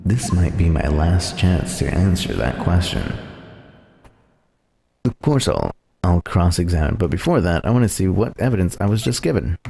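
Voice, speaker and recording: A man's voice: deep voice, very animated, moderate pace, creaky, mellow, echoey room, in a large hall, pitched below the performer's own voice, heavily acted. A man voicing an earnest young defense lawyer in a video game, musing in a dry, matter-of-fact way. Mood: thoughtful, determined. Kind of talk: reading game dialogue in character.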